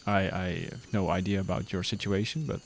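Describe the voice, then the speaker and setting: A man in his thirties, streaming live and chatting casually with viewers in a relaxed, rambling way. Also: deep voice, fairly quick, creaky, slight background noise.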